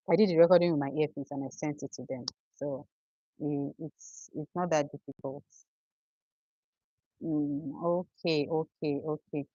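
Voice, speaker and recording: Nigerian lady doing a live q&a, low voice, chatty, lots of okays, kinda dull dry audio. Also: darkish tone, no echo. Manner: chatty, answers viewers' questions, frequent restarts and okays. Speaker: livestream host. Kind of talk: casual Q and A livestream.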